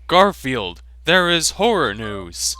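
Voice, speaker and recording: A man doing a high, overwrought cat owner. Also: very animated, slow, pushed and bright, no room sound, clean studio sound, faint music behind, full character voice. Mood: distraught. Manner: overwrought, melodramatic pleading. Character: distraught adult male cat owner.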